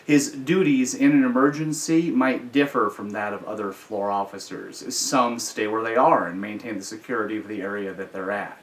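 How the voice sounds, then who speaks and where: An American man telling personal stories in an informal, measured, explanatory way. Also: fairly low pitch, steady tone. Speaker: storyteller, host. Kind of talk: informal explanatory storytelling about personal experience. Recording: slightly distant from the microphone.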